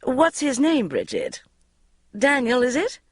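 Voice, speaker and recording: Woman doing a loud, nosy family friend. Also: sits high, wildly swooping pitch, slow, dry recording, studio quality, voice pushed up, full character voice. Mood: pushy chirpy. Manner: loud, meddling and breezy.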